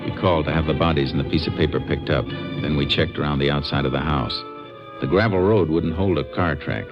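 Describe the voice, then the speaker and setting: An actor playing a stern, methodical Texas Ranger in an old American radio drama, speaking tersely and deliberately with an American accent. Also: male voice, a bass voice, over loud music. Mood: matter-of-fact narration. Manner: terse, deliberate, matter-of-fact. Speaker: lead actor and narrator. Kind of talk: mid-century American radio drama acting. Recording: muffled, noisy vintage radio recording.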